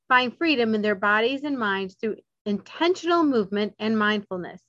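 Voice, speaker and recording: A woman's voice: a little high, fairly bright tone, no echo. A woman hosting a podcast who reads a formal introduction and then asks questions in a friendly, chatty way. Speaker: podcast host. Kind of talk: podcast interview. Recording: dry, dull lo-fi sound.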